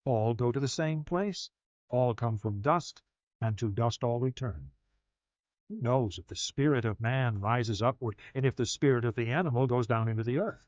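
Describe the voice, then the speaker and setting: A man narrating a dramatized scripture audiobook in a solemn, measured manner, with deliberate pauses. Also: mid-range voice, a little mellow, no echo. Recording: slightly dull audio with music behind. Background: music.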